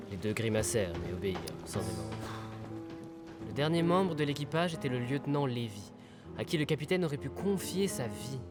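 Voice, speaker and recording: Acteur en marin conteur, musique derrière. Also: male voice, medium pitch, lightly acted. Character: weary seasoned sailor recounting sea legends in verse. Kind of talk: audio fiction storytelling. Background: music.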